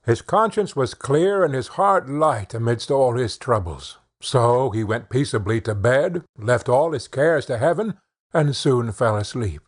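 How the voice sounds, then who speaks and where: A man reads a children's fairy tale as an audiobook narrator. His voice moves up and down expressively. It has a slightly husky grain, and his delivery is measured storytelling. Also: mid-range voice, animated.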